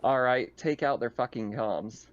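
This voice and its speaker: A man narrating a tabletop game as game master, feeling out his phrasing haltingly as he improvises. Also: mid-range voice, dull audio.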